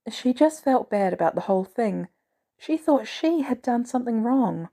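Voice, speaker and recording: A woman voicing a poised, caring female executive with composed, gently teasing delivery in a story reading. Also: on the high side, expressive, moderate pace, voice pushed up. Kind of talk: storybook character dialogue.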